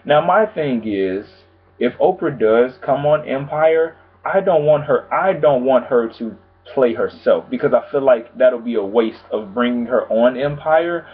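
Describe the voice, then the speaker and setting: A man vlogging about TV news in a casual, chatty way, calm and low-key, with lots of filler phrases. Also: measured pace, slightly rough. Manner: chatty, frequent "pretty much" and "you know what I'm saying". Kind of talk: casual chat to camera. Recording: tinny phone-like sound.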